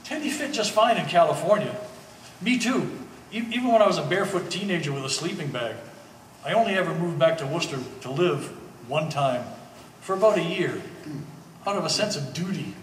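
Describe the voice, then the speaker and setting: A man's voice: a little high, expressive, brisk, natural voice. A man playing an easygoing middle-aged former hippie with a hesitant, amiable delivery in a live stage play. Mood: reflective. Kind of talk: live one-act stage acting. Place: art gallery stage reading. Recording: echoey hall, distant mic, faint noise.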